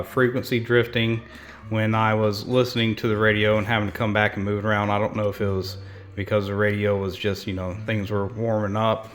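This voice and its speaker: A man hosting a repair vlog, talking casually and unscripted in a rambling, explanatory way. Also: on the low side. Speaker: host of a radio repair channel.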